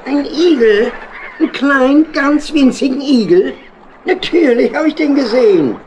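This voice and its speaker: An actor playing a chatty, friendly keeper in a children's audio drama, on a noisy old record. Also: male voice, very high voice, expressive, a little slow, a little mellow. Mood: chatty, amused. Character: chatty, friendly railway and canal lock keeper.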